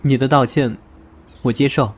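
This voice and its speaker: An actor playing a reserved, wounded young man in an audio drama, speaking in a measured, resigned, serious way. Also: mid-range voice, steady tone, slight background noise, natural voice. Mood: quietly firm. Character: reserved, wounded young man setting terms to a former lover. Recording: music behind the voice. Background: music.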